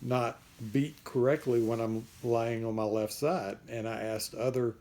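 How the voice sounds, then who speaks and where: Man, vlogger, dark mellow voice, relaxed rambling talk, slightly hoarse. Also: mid-range voice, measured pace. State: losing his voice. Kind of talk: casual vlog update.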